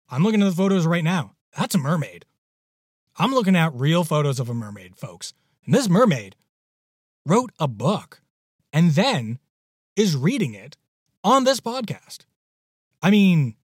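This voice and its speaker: A man's voice: fairly high pitch, breathy edge, no echo, clean studio sound. A man hosting a podcast, giving a casual introduction in a playful, emphatic, exclamatory manner. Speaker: podcast host.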